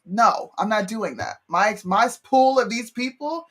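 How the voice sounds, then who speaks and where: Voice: mid-range voice, a little slow, a bit bright. A co-host from Pennsylvania talking bluntly and profanely in a casual debate on a talk show.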